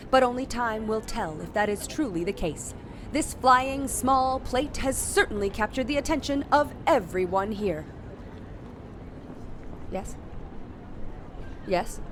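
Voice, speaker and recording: Woman playing a high-voiced TV news reporter. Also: slight background noise. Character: earnest, self-important television news reporter live on scene. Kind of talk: mock live news report.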